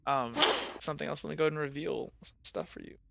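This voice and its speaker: A man running a tabletop game as game master, narrating scenes with many pauses and restarts. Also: a little high, fairly even intonation, gravelly, a bit bright, no room sound, dull audio. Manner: hesitant, full of ums and restarts.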